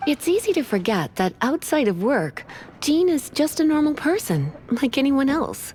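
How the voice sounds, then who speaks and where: An actress playing a composed, gently bossy young female librarian in a video game. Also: high-pitched, sing-song, moderate pace, slight background noise. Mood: poised, reassuring.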